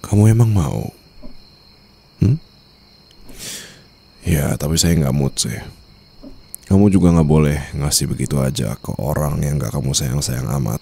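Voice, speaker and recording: Casting: male actor as a domineering mafia boss for ASMR roleplay, extremely low and gravelly, cold, deliberate, teasing. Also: warm tone, exaggerated voice. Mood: teasing, smug. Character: cold, commanding young-to-middle-aged male mafia boss, teasing new husband. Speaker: roleplay voice performer.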